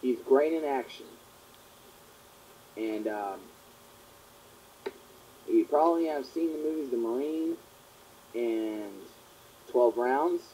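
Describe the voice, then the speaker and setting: A young man hosting his own fan question show, talking in a rambling, earnest way that repeats itself, his voice hoarse and partly gone from a long cough. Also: medium pitch, slow, long pauses, warm tone, a little hiss or hum. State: sore throat, partly lost voice from a long cough. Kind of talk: casual monologue answering fan questions. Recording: dull lo-fi home recording.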